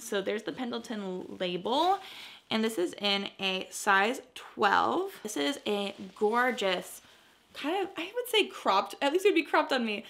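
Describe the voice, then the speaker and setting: Bright-voiced young American woman with vocal fry. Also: mid-range voice. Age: young adult. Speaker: vlogger, clothing reseller. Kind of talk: casual chatty haul video to camera. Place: bright furnished living room at home.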